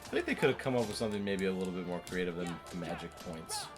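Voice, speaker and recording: Low-voiced man chatting over game music. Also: wildly swooping pitch, quick pace. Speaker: host of a let's-play show. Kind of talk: casual gaming commentary with a co-host. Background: video game music.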